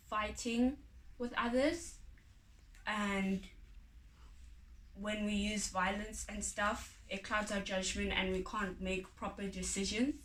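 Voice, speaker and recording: A woman's voice: medium pitch, bright, forceful tone. A woman reading a folk tale aloud as a storyteller. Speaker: story reader. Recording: echoey room, distant from mic.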